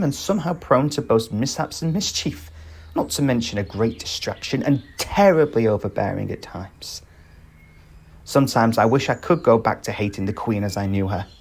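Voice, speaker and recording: Man playing a wry, teasing bandit protector, lively intonation. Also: fairly low pitch, breathy edge, full character voice.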